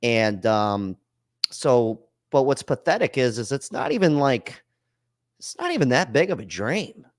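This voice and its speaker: A man's voice: mid-range voice, very animated, a little slow, no room sound, clean studio sound. A man hosting a call-in podcast, talking loosely and bluntly in slang, swearing freely. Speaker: podcast host. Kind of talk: casual chat.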